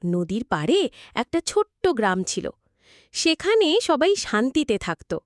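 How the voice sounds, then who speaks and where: A woman reads a children's tale as narrator. Her voice is high and moves through wide, animated pitch swings. The sound is very dry, with no room around it. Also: unhurried, no room sound. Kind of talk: scripted children's story narration.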